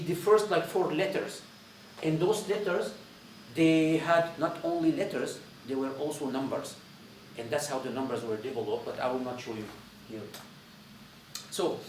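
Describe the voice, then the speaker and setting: Syrian guy w arabic as first language giving a halting informal lecture, kinda high voice, mic far off. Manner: halting, repetitive phrasing with searching pauses. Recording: roomy echo, distant mic, faint noise.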